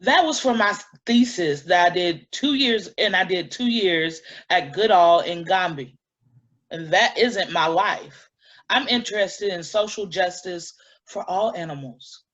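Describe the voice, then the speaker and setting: An actress playing a defiant, principled animal-rights activist in a staged play reading, blunt and combative. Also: female voice, lowish voice, expressive, a little slow, a bit bright. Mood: firm, defensive. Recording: dull lo-fi sound.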